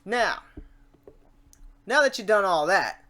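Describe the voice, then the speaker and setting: Casual, playful woman walking through software. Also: medium pitch, expressive, slow. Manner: informal, playful, talks through each step aloud. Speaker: tutorial host. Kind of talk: casual screen-recorded software tutorial.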